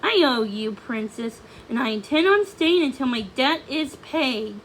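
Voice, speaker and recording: A woman's voice: high voice, lively intonation, a little slow, slight background noise, low-quality recording. A woman voicing a pompous, brash male puffin soldier with a formal, boastful, blustering manner for a storybook. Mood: gallant, formal. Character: pompous, brash military puffin, male, hot-headed but gallant.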